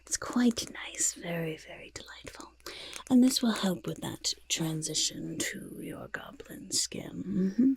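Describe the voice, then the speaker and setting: A woman voicing a fussing, delighted goblin potion-maker in a soft-spoken ASMR roleplay, muttering and repeating words in a creaky, breathy half-whisper. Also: lowish voice, lively intonation, measured pace. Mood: eager, fussing.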